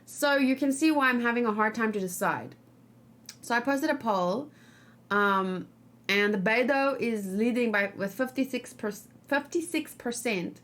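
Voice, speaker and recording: A woman's voice: fairly high pitch, a little slow, a bit bright. A woman gaming streamer talking casually and informally, rambling and thinking aloud as she hosts a let's play.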